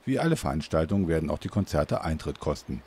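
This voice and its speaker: A man's voice: very deep voice, expressive, quick pace, background noise. A man reading a scripted local news report as narrator.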